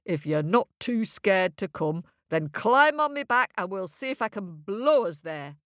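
A woman voicing a grumpy, blustery male north wind who roars and booms gruffly. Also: sing-song, unhurried, fairly bright tone, no echo, clean studio sound. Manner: roaring, booming, gruff.

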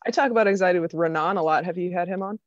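An American woman from Vermont with a slightly low voice, caught on a somewhat dull, close microphone. She chats as a podcast guest, wry, informal and self-deprecating. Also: rapid-fire, no room sound. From Vermont, United States. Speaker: podcast guest, stand-up comedian. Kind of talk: casual chat.

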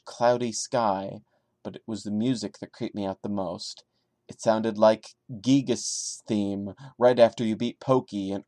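A man's voice: on the low side, darkish tone, no echo. A man hosting a podcast, giving a dramatic reading of a horror story with incredulous, sarcastic asides in a theatrical manner. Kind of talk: dramatic reading with mocking commentary.

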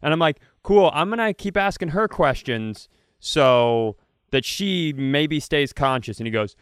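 A man with a smooth, resonant voice tells a comic story on a podcast. He gets animated and leaps into high-pitched impressions of the people he quotes. The sound is close and dry. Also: unhurried, dry recording. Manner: animated, mimics voices in quotes, many restarts. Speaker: podcast co-host. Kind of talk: comedic storytelling.

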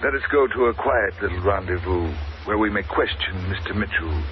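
An actor playing a suave, menacing villain with oily courtesy and formal, precise diction, in a 1950s radio drama. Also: male voice, sits low, normal speed, natural voice. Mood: smooth menace. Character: suave, menacing male villain posing as perfume dealer. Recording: dull old radio recording, noisy.

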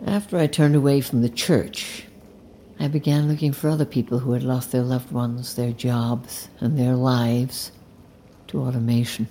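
An actor playing a calm, zealous leader of an anti-technology movement in an audio drama, speaking in a measured, solemn, deliberate way. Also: male voice, mid-range voice, a little hiss or hum, natural voice. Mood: solemn conviction. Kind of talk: immersive audio drama acting.

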